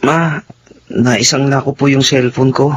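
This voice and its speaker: An actor playing an evasive, wheedling teenage student son in a Filipino radio drama. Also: male voice, medium pitch, steady tone, a little slow, husky. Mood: nervous, apologetic. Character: evasive, wheedling teenage son, a student who sweet-talks his mother.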